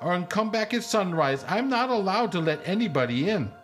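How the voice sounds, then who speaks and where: A flustered young gatekeeper boy stammers through his words in a nervous, high-pitched voice, as a man puts him on for a tabletop game. He sounds unsure of himself. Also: big pitch swings, fairly quick, soft background music. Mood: apologetic.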